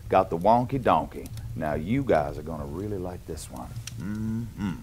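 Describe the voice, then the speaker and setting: A man's voice: sits low, animated, normal speed, darkish tone, slight background noise, voice pushed down. A middle-aged man playing a jolly Canadian lumberjack, reading a picture book to children with playful, exaggerated sing-song rhythms and chuckly asides. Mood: jolly, inviting. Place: small indoor cabin-style set.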